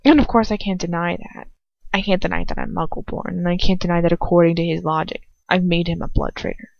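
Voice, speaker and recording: A woman reading a story aloud in an expressive amateur narration, with dramatic emotion and casual asides. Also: on the low side, fast, gravelly, no echo. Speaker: fan fiction reader. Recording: dull, lo-fi, dry home recording.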